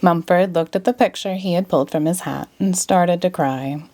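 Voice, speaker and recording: A woman narrating a children's storybook aloud in an expressive read-aloud style. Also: on the low side, moderate pace. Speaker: storybook reader.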